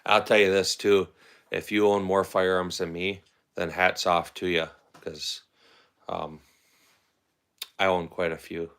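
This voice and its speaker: A man's voice: sits low, level tone, a little slow, pauses often, fairly bright tone, echoey room. A man hosting a casual livestream, rambling and answering viewers' comments as they come in. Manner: rambling, talks back to chat comments. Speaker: livestream host.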